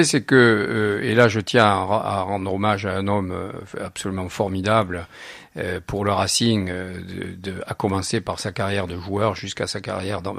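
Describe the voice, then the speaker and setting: A low, gravelly-voiced man in his sixties speaks with a southern French accent. He answers interview questions hesitantly, filling gaps and repeating words. The sound is close and dry. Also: no room sound. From Narbonne, southern France. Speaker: lawyer, supporters' association co-president.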